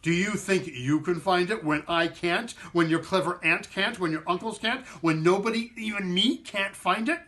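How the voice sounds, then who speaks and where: A man voicing an absent-minded father in a children's story, hesitant and halting, with a drawl. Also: sits high, pushed and bright. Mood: incredulous.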